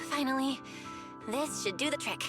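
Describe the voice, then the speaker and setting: An actress playing a capable, friendly young technical specialist in a video game, brisk and clear-headed. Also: female voice, sits high, big pitch swings, over music. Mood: satisfied.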